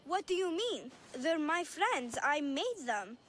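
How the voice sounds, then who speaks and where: High-voiced innocent little girl. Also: very animated, slow pace, fairly bright tone, a little hiss or hum, full character voice. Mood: upset, sad. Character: innocent, lonely little girl, earnest and playful. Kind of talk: video game cutscene acting.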